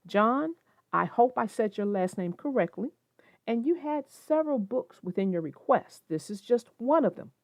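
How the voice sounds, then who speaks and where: A woman's voice: medium pitch, no echo, clean studio sound. A woman host narrating a children's storybook as a read-aloud. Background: music, plucked guitar.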